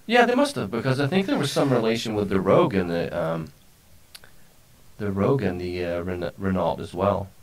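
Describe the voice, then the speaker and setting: Lower-voiced middle-aged man. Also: expressive, normal speed, low-quality recording. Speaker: live stream co-host. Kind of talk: casual chat. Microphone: desk microphone.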